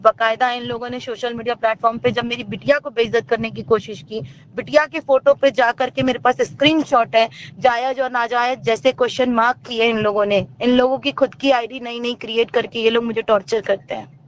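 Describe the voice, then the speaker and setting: A woman's voice: fairly high pitch, fairly even intonation, fairly quick. A woman giving her account as an interview guest over a phone call, speaking in an emphatic, accusatory way. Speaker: interview guest, actor and singer. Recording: dull lo-fi phone recording, clear noise.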